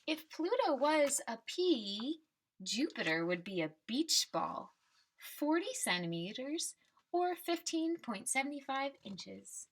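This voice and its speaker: A woman reading a children's picture book aloud and explaining it simply, in a deliberate teaching manner. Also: sits high, sing-song.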